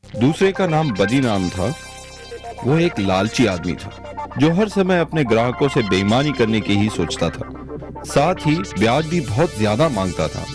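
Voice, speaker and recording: Male narrator, scripted storytelling, lively intonation, prominent music bed. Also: mid-range voice, a little slow.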